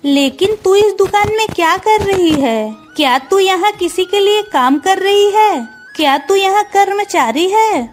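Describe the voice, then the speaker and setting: A woman plays a scornful village sister-in-law from a cartoon tale. She is sharp-tongued and dramatic, very high in pitch, drawing out her words slowly over music. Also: animated. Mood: surprised, nosy. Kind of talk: Hindi moral-story cartoon dubbing.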